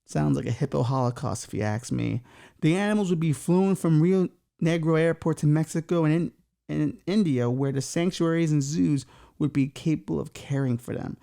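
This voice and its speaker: A man's voice: on the high side, normal speed, vocal fry, warm tone. A man hosting a comedy podcast, reading news stories aloud with stumbles and crude, mocking jokes. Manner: crude, mocking, swears freely. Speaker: podcast host. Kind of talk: comic riffing on news stories.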